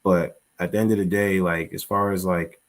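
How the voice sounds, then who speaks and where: A man as an interview guest, talking in a halting, rambling way full of fillers. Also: low-pitched, little pitch movement. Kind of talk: conversational interview.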